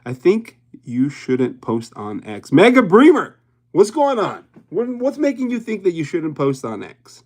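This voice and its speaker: A man's voice: on the high side, huge pitch swings, a little slow, darkish tone. Chatty man rambling on a livestream. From Hawaii. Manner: rambling, chatty, laughs often. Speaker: YouTube livestream host. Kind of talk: casual livestream chat with viewers.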